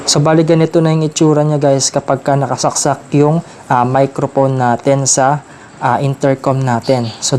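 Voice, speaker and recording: Even-toned Filipino man reviewing gear. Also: medium pitch, a little hiss or hum. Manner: explanatory, addressing viewers informally. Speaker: product review vlogger. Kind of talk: casual unboxing and review talk.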